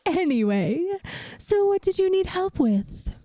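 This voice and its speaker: A woman playing a centuries-old vampire math teacher in an ASMR roleplay, gentle, wry and reassuring, with a soft, soothing, teacherly manner. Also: extremely high pitch, big pitch swings, unhurried, full character voice. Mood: gently welcoming.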